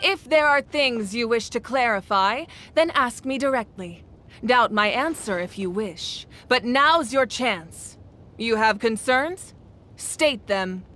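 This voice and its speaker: A woman acting a composed, commanding ruler in a video game, speaking with formal, measured authority. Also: fairly high pitch, animated, bright, forceful tone, exaggerated voice. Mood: stern, resolute. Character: commanding, composed female ruler of a warrior nation.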